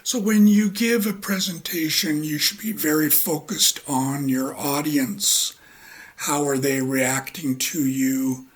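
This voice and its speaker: Slow, husky-voiced male English teacher. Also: fairly high pitch, fairly even intonation. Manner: slow, halting, with many ums and uhs. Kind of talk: relaxed spoken lecture.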